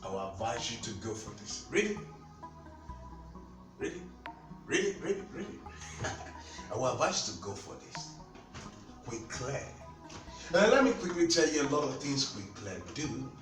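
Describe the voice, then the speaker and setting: A man with a Nigerian accent talking casually to camera about skincare, animated and repetitive, speaking straight to his viewers. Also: mid-range voice, normal speed, long pauses. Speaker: skincare seller. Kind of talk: casual product talk to camera. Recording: echoey room, mic at a distance, faint music.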